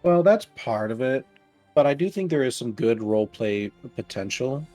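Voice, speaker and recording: A man's voice: medium pitch, animated, moderate pace, warm tone, faint music behind. A man running a tabletop game, talking things over casually with his players in an easygoing, reassuring way. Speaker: game master.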